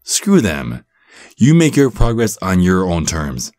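A man's voice: sits low, huge pitch swings, slow pace, a little mellow, no room sound, studio quality, full character voice. A man voicing a supportive, flirty werewolf gym bro in an ASMR roleplay, with an encouraging, stammering manner. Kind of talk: ASMR roleplay, one-sided conversation.

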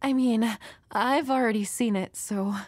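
A woman's voice: a little high, measured pace, darkish tone, no room sound. A woman playing a shy, flustered young woman next door, nervous and hesitant, in an intimate romantic audio roleplay. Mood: bashful, fond. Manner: nervous, hesitant, stammering, giggly.

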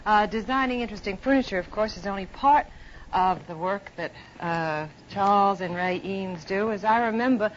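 A woman's voice: medium pitch, normal speed. A woman with an American accent speaking as a poised, articulate, formal television host in a polished interview style. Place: television studio. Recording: muffled, noisy 1950s television broadcast.

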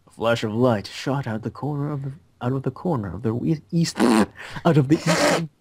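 A man's voice: medium pitch, moderate pace. A young adult man reading story narration aloud in an amateur style, on a headset in a small room.

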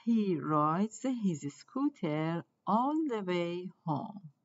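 A woman reading a children's picture book aloud in simple, deliberate phrases for young listeners. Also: lowish voice, animated, highs cut off.

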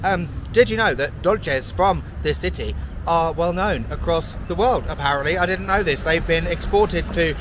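A man in his thirties, a travel vlogger talking to a handheld camera outdoors on town streets, chatty and excitable with playful asides. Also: a little high, moderate pace, few pauses, background noise. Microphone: handheld camera at arm's length.

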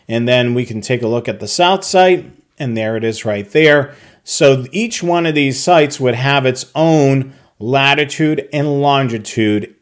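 A man narrating a slide tutorial, explaining a technical process step by step with occasional fillers. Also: medium pitch. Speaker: product tutorial presenter.

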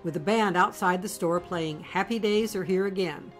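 A woman giving scripted documentary narration in a measured, storytelling delivery. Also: fairly low pitch, soft background music.

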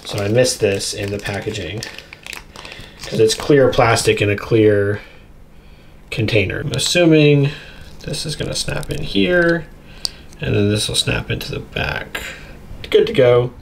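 Guy doing chatty unboxing commentary, medium pitch, informal, noisy recording w crinkly plastic in the back. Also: unhurried, pauses often. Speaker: unboxing video host. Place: indoors at a tabletop. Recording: clear background noise, crinkling packaging. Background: crinkling plastic bags, clicks.

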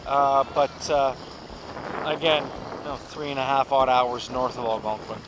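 A man's voice: mid-range voice, unhurried. Man vlogging outdoors over wind noise. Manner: relaxed, rambling with many fillers and restarts. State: walking while carrying a pack. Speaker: canoe trip vlogger. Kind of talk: casual outdoor vlog narration. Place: outdoors on a windy lakeshore and forest trail. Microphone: action camera held or worn. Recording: wind noise on action camera.

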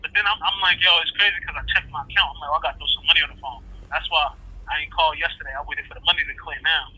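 A man with a New Jersey accent, talking in animated, emphatic street slang on a phone call. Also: a little high, very fast, slightly rough. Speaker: guest. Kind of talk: casual phone conversation.

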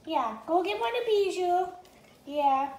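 A woman's voice: extremely high pitch, expressive, unhurried, a little mellow, roomy sound. A woman voicing her young female pet dog as a cheerful, rambling little host of a home news vlog, talking in a childlike sing-song way. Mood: chatty. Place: home kitchen.

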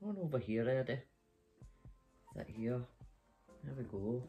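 A middle-aged woman reads a children's picture book aloud at a slow pace. She hosts story time from a bedroom, with faint music behind her. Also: rumbling low, mellow. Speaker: storytime host. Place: small bedroom, sitting up in bed.

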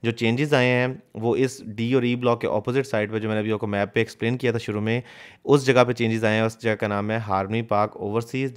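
A man talks fast over drone footage, voice clean and resonant. He walks the viewer through a map and the building work in a matter-of-fact explanatory way, slipping English terms into his sentences. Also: medium pitch, clear, resonant tone. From Pakistan. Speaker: real estate consultant.

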